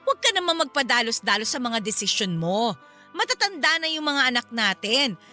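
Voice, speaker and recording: A woman's voice: on the high side, very animated, brisk, bright, forceful tone, faint music behind. A woman playing a defensive, sassy middle-aged Filipino mother who protects her son in a radio drama. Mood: firm, defiant.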